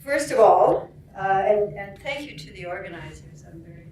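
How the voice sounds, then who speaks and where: A woman in her seventies speaks haltingly, telling stories at a conference. She sits some way from the mic, so her voice sounds distant. The room around her is echoey, with a faint hiss underneath. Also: mid-range voice, animated, a little mellow. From Alberta, Canada. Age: 70s. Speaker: storyteller. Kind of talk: spoken storytelling.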